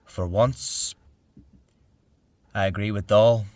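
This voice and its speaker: A man's voice: lowish voice, steady tone, takes it slowly. A man acting a wary, doubtful parliament delegate in a radio play, formal and bureaucratic. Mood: sceptical.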